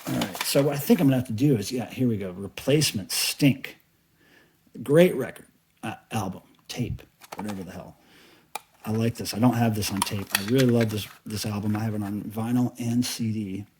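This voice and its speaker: A man's voice: medium pitch, normal speed. A middle-aged man showing off his collection in casual, unscripted chat, enthusiastic, rambling and a little profane. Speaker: cassette collector and video host. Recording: clearly noisy home recording with clicks.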